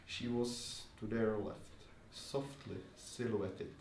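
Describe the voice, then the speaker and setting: A man's voice: fairly low pitch, steady tone, takes it slowly, darkish tone. Man speaking at a roomy, distant live event. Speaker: reader and host of a literary reading event. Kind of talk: reading prose fiction aloud to an audience. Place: live reading event in a bar. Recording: roomy, distant live recording with faint noise.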